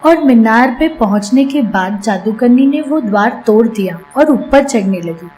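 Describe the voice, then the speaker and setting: A woman narrating a scripted children's fairy tale in a measured storytelling manner. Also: on the high side, expressive, darkish tone, faint music behind, low-quality recording.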